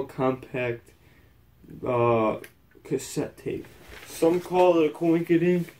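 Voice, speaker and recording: Young man with a mellow, halting delivery. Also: medium pitch. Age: young adult. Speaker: presenter. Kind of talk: casual scripted history presentation. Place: small indoor room at home.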